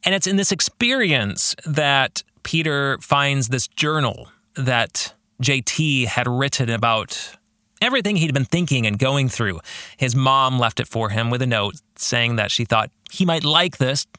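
A man's voice: medium pitch, animated, measured pace, bright, forceful tone, no echo, studio quality. A man hosting a podcast, giving reflective religious commentary on his book in an earnest, preacherly way with emphatic phrasing. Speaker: podcast host and author discussing his own novel.

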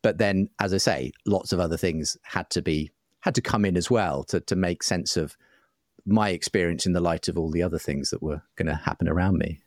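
Low-voiced man, reflective and searching. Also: fairly quick, dry recording, studio quality. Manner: hesitant, self-qualifying, searching for words. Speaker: interview guest, writer and broadcaster. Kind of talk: reflective personal conversation.

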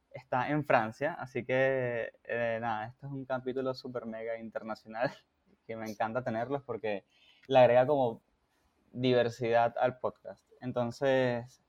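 A man from Venezuela with a Venezuelan accent, hosting a casual podcast interview with a relaxed, laughing manner. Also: medium pitch, highs cut off. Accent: Venezuelan Spanish. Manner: relaxed, laughs often, many fillers. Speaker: podcast host.

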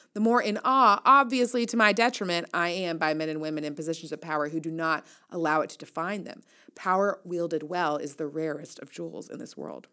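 A woman's voice: fairly low pitch, a bit bright. A woman in her thirties reading a first-person essay aloud as host, candid and reflective, with wry asides. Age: 30s. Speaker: newsletter writer and host reading an audio essay.

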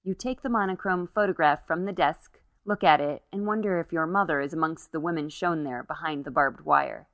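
A woman's voice: sits low, brisk, few pauses, a bit bright, dry recording. A woman narrating a literary short story as its reader.

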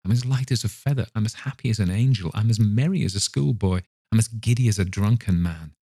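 A man's voice: lowish voice, a little fast, mellow, dry recording. An old miser from a storybook, acted out by a man, repentant and wildly fervent. He exclaims breathlessly and theatrically, in a very dry recording with no room sound. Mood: giddy elation. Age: older. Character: excitable old miser, repentant, giddy with joy.

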